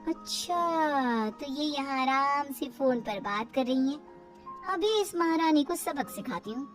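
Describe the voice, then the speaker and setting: Woman playing a sly, high-voiced gossiping sister-in-law. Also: animated, measured pace, soft background music. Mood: spiteful. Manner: sly, insinuating. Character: gossiping, stirring young sister-in-law, mock-scandalized.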